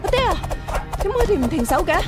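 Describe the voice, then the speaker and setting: Young wuxia girl, high voice, spirited, hong kong cantonese, loud music behind, radio drama. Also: sing-song, fast. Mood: amazed. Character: spirited, wide-eyed young daughter of a hero.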